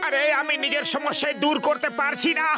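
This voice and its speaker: A man's voice: extremely high pitch, monotone, brisk. A man voicing a distressed, whiny cartoon man who wails and complains about his troubles. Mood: miserable. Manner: wailing, complaining. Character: distressed, whiny, food-loving man mistaken for holy man. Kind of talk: Bengali cartoon dubbing. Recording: music behind the voice.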